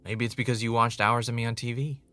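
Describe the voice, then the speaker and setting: A man's voice: mid-range voice, flat delivery, fast talker, bright, forceful tone, no echo, soft background music, lightly acted. An actor playing a wry, dramatic man who hosts an investigative podcast, in a conversational audio drama style. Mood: curious, casual. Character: male ex reality-show contestant turned investigative podcaster, wry, dramatic. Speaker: podcast host. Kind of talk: naturalistic audio drama acting, conversational narration.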